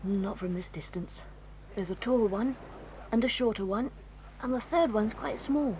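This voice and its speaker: A woman voicing a brave, sensible teenage boy in an audio drama, talking in an urgent hushed whisper. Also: on the high side, measured pace, slight background noise, close to an everyday voice. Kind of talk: 1980s radio-style audio drama.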